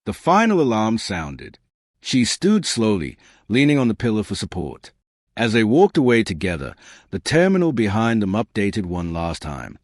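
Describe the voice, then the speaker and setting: A man narrating a science fiction story in a measured, dramatic way with short pausing phrases. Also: on the low side, huge pitch swings, no echo, studio quality. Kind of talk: scripted dramatic sci-fi audiobook narration.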